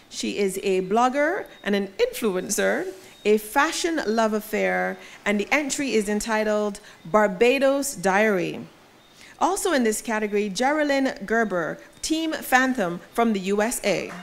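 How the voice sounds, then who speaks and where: A woman hosting an awards ceremony, announcing categories and nominees in a polished emcee manner with light self-deprecating asides. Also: on the high side. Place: event venue.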